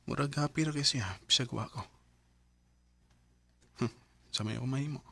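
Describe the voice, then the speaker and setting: An earnest young Cebuano Filipino husband in a radio drama. He is devoted and sincere, with a mid-pitched male voice. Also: moderate pace, creaky, a bit bright. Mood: resigned, trusting.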